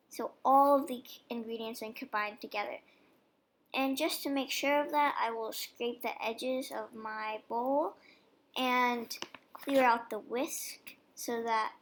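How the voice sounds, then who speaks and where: A young woman casually explaining step by step how she makes dog treats. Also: on the high side. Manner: chatty, relaxed, explains each step. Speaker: vlogger baking dog treats. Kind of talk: casual cooking demonstration voice-over.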